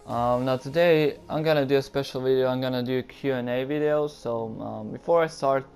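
A young Croatian man answers viewer questions over gameplay in English, his second language, with a Croatian accent. He sounds unsure, filling gaps with ums and likes. His voice is flat and gravelly, with faint music behind it. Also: medium pitch, brisk. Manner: hesitant, many ums and likes, nervous. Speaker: gaming YouTuber. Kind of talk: casual Q and A over gameplay footage.

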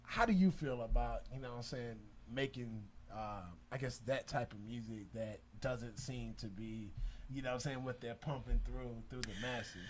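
A man's voice: medium pitch, normal speed, low-quality recording. A man, hosting a casual studio interview, laid-back, full of fillers and slang. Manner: laid-back slang, many fillers and "you know what I'm saying". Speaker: radio show host. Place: small studio with backdrop, indoors. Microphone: desk mic on a stand.

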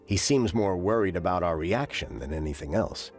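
A man voicing a calm male mission advisor in a Mars colony game, announcing news matter-of-factly. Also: low-pitched, normal speed, soft background music. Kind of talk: scripted game voice line.

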